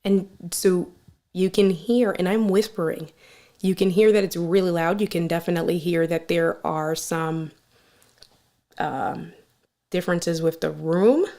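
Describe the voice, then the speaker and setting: A woman with a strong, loud voice hosting a live stream. She tests microphones and talks straight to her viewers in an energetic, playful way. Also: on the low side, unhurried. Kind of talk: casual live stream chat. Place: room with little furniture. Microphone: switching between test microphones at different distances.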